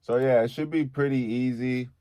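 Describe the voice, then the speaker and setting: A man with a deep, bassy voice teaching recording in a relaxed, conversational way, often checking in with "okay?". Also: normal speed, no echo. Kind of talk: casual tutorial.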